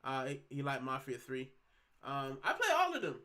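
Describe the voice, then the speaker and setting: A man's voice: medium pitch, huge pitch swings, normal speed, pushed and bright. A man in his thirties from Puerto Rico, hosting a casual livestream at home, rambling in slang and reading out chat comments. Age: 30s. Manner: chatty, rambling, slangy, many fillers. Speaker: livestream host.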